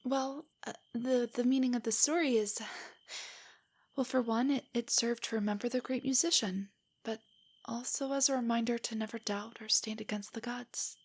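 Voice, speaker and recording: A woman playing a nervous, nerdy, affectionate young girlfriend in an intimate audio roleplay, speaking softly and breathily in a hesitant, earnest way. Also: on the high side, fairly even intonation, moderate pace. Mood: earnest, rambling. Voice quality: soft, breathy, whispery. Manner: hesitant, rambling, earnestly explanatory.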